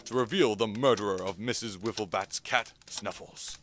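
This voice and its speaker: A man's voice: lowish voice, normal speed, fairly bright tone, a little hiss or hum, lightly acted. A man playing a radio announcer, giving scripted, mock-dramatic narration for a parody play. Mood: mock-serious. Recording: music behind the voice.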